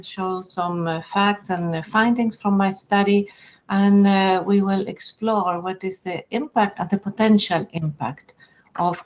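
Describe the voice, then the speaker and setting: A woman from Argentina whose first language is German, with a German accent, presenting an online lecture in an earnest, measured way with frequent fillers. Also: medium pitch, muffled recording. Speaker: webinar presenter.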